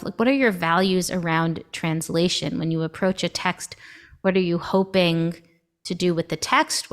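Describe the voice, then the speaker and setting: A woman's voice: on the low side, clear, resonant tone. A woman hosting a podcast, who praises her guest and frames long, appreciative questions. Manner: warm, appreciative, asks long framing questions. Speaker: podcast host. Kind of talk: interview questions.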